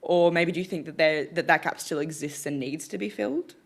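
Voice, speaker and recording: Woman, lowish voice, encouraging moderator. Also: fairly quick, vocal fry. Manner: encouraging, asks questions. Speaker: panel moderator. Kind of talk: panel discussion.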